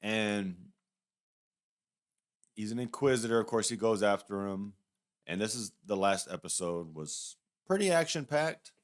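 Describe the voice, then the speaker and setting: Low, clear-voiced man chatting casually. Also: expressive, measured pace. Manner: rambling, hedging, conversational. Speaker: YouTube reviewer. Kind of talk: casual, unscripted review commentary.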